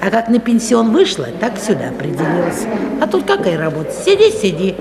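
An actress playing a kindly, talkative old cloakroom attendant in a radio play, with a homely, folksy way of talking. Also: female voice, medium pitch, wildly swooping pitch, a little fast. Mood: homely, motherly. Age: older. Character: chatty kindly old cloakroom woman, retired weaver. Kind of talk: 1970s radio play acting. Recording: echoey hall with crowd murmur.

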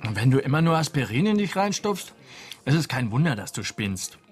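An actor playing a cocky, dismissive criminal in a radio drama, talking in brash, patronizing slang. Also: male voice, mid-range voice, big pitch swings, brisk, lightly acted. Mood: dismissive, confident. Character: cocky, dismissive male criminal, bullying his partner.

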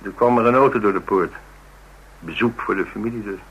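A man's voice: fairly low pitch, brisk, a bit bright, slightly rough, lo-fi sound. An actor playing a rugged, blunt man, telling of his exploits in a wry, colloquial radio drama style. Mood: musing, confiding. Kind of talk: Dutch radio drama dialogue, conversational storytelling.

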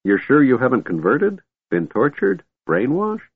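A man's voice: mid-range voice, huge pitch swings, measured pace, gravelly, husky, no room sound, narrow, tinny audio, pitched above the performer's own voice, exaggerated voice. A man voicing a doddering, pompous, absent-minded old wizard in an audiobook, muttering and indignant. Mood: eager, delighted.

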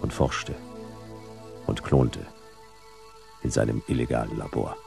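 A hard-boiled detective narrates a noir radio play in terse, clipped phrases. The voice is extremely deep and gravelly, with clear music behind it. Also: male voice, steady tone, unhurried, a little mellow, heavily acted. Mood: grim. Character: hard-boiled male detective narrating his own case.

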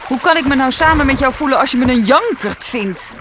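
A woman doing a bitter homebody sister, clipped, higher voice, dutch, noise behind. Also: expressive, brisk. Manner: clipped, reproachful. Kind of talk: radio drama acting.

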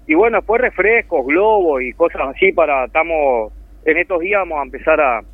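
A man's voice: on the high side, expressive, a little fast, no room sound. A man with a Uruguayan accent talking earnestly and at length as a guest calling in to a radio interview. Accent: Uruguayan Spanish. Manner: earnest, heartfelt, rambling. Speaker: phone-in guest, charity volunteer. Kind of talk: casual radio interview over the phone. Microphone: telephone. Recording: tinny dry phone line.

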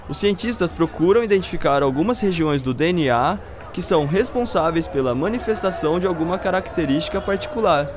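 Man playing a high-voiced, patient science teacher. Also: lightly acted. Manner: didactic, explains step by step with questions. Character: patient male science teacher chatting with his students. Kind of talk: scripted educational radio drama acting.

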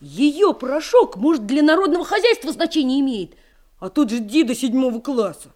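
Cheeky, eager schoolboy, high and wildly swooping, for children's radio drama. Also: female voice, very animated, normal speed, a little mellow. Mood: teasing, amazed. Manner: exclamatory, slangy, swooping delivery. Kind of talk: Soviet-style children's radio play acting.

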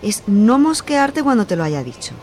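Woman acting a highish, bossy young Spaniard. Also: big pitch swings, normal speed, darkish tone, slight background noise. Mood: pressing. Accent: Spanish from Spain. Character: young woman living with parents, bossy casual friend. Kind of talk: radio serial acting.

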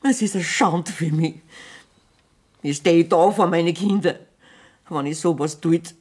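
An actress with a Bavarian accent playing a wronged, defiant middle-aged farmer's wife with sharp, biting retorts in a radio play. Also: female voice, lowish voice, a little slow, breathy edge. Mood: pleading.

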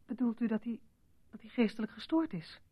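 Anxious, stubborn wife, muffled recording. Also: female voice, fairly high pitch, a little fast, husky, no room sound. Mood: subdued, worried. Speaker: actor. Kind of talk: Dutch radio play acting. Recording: dull, muffled old radio recording.

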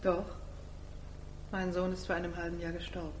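A woman playing a refined, gentle, reserved older lady in a 1950s German radio play. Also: lowish voice, completely flat delivery, normal speed, a little hiss or hum, close to an everyday voice, low-quality recording. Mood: grave.

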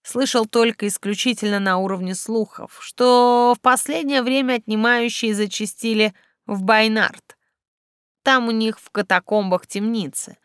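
A woman's voice: a little high, steady tone, moderate pace, clear, resonant tone, dry recording, clean studio sound, pitched above the performer's own voice, close to an everyday voice. A woman voicing a roguish, rueful young male agent in an audiobook. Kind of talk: audiobook character acting.

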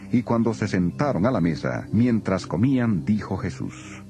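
A man's voice: on the low side, big pitch swings, moderate pace, warm tone, faint music behind. A man narrating a dramatized scripture recording as a solemn storyteller.

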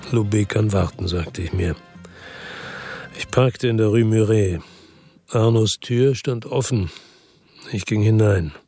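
An actor playing a weary, hard-boiled crime narrator in a first-person radio drama, husky, terse and reflective. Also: male voice, on the low side, takes it slowly, long pauses, dark tone, faint music behind. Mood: tense, brooding.

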